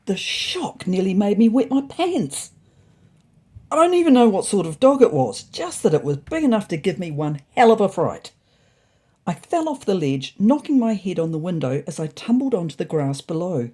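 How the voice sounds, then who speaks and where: Expressive storyteller with a lively, swooping delivery. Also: medium pitch, normal speed, breathy edge. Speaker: narrator. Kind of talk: scripted first-person story narration for an animal tale.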